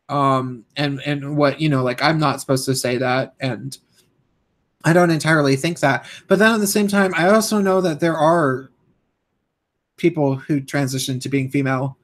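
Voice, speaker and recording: A young man with a hoarse voice, live-streaming and ranting casually, rambling with many fillers and restarts. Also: mid-range voice.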